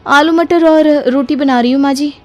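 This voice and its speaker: A woman's voice: high-pitched, steady tone, moderate pace, darkish tone, highs cut off, pitched above the performer's own voice, lightly acted. A woman voicing an exasperated young daughter-in-law in a story, with emphatic, dramatic delivery. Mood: polite.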